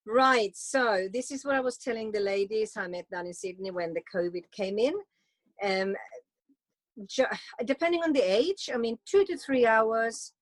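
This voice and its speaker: Finland-swedish mom chatting away, restarts a lot, dry close sound. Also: female voice, fairly high pitch, no echo, rough recording. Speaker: guest, homeschooling mother. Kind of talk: casual conversation.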